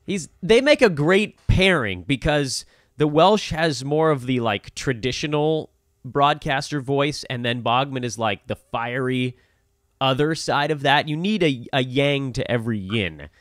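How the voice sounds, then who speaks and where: A man's voice: mid-range voice, expressive, measured pace, a bit bright, no room sound, studio quality. A man co-hosting a casual sports chat show, talking in a joking, self-deprecating way. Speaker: podcast co-host. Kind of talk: casual fantasy basketball chat.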